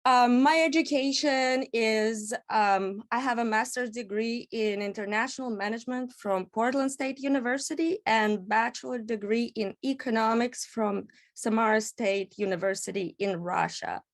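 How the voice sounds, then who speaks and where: A woman from Kazakhstan giving a measured presentation at a public panel, with frequent "um" pauses. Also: on the high side. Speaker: executive director, invited speaker.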